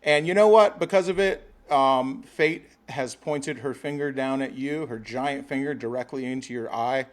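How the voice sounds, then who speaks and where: A man's voice: medium pitch, moderate pace, hardly any pauses. A man hosting a livestream and calling a prize winner on the phone, joking, swearing and laughing between mock-grand speeches. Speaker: host of a livestream giveaway. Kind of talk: casual phone call on a livestream.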